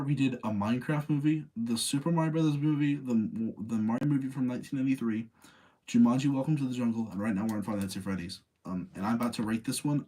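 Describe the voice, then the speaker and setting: A man's voice: mid-range voice, mellow, poor audio. Casual man rambling through opinions. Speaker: livestream host reviewing movies.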